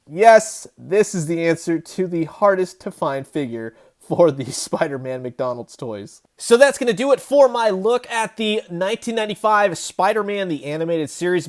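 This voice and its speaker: A man's voice: fairly high pitch, a little mellow. A man in his thirties giving a casual, enthusiastic toy review, chatty and emphatic as the host.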